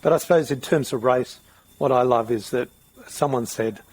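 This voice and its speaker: A man's voice: mid-range voice, moderate pace, a little mellow, breathy edge, low-quality recording. A middle-aged man, hosting a podcast interview in a rambling, thinking-aloud way. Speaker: podcast host. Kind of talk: casual interview.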